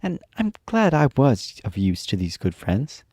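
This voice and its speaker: An earnest young girl far from home, voiced by a man who lifts his voice well above its usual pitch. She speaks plainly and sincerely in a close, dry storybook reading. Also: wildly swooping pitch, measured pace, darkish tone, no room sound. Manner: raised girlish pitch, earnest.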